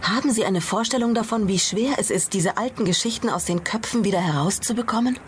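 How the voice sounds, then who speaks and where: A woman's voice: medium pitch, fairly quick, natural voice. A woman playing a proud, gossipy and worried hotel owner in a German audio drama, effusive and deferential. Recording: ambient noise behind the voice.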